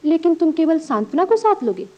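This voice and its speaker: An actress playing a bold, educated young woman in love in a radio play, expressive and pointedly questioning. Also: very high voice, a little fast. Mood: challenging, yearning. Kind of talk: Hindi radio play acting. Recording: dull, band-limited archive radio recording.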